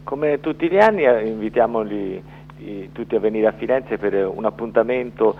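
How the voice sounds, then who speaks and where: Low-pitched man on a dull line. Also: moderate pace, slight background noise. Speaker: film festival director, interview guest. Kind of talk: radio interview. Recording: dull band-limited phone-like radio link.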